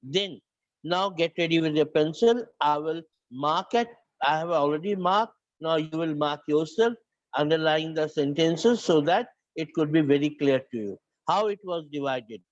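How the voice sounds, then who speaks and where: A man's voice: a little high, moderate pace, no room sound, dull audio. A man with a north Indian accent lecturing a class, switching between English and Hindi and often restarting his phrases. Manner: repeats and restarts phrases, dictates points to students. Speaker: teacher.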